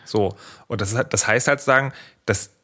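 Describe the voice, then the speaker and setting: Guy from the old east germany, low voice, thinks out loud, lots of restarts. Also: quick pace. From former East Germany. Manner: hesitant, many fillers and restarts, thoughtful. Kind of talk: casual discussion.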